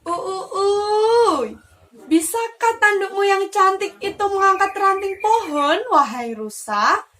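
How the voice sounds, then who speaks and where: A woman playing a high, mocking pigeon. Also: animated, slow, bright, forceful tone, exaggerated voice. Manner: sarcastic, goading. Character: mocking, taunting pigeon in a forest fable.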